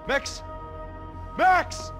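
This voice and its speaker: An actor voicing a nervous, jittery office technician in a video game, anxious and hurried. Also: male voice. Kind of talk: video game cutscene acting. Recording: music behind the voice.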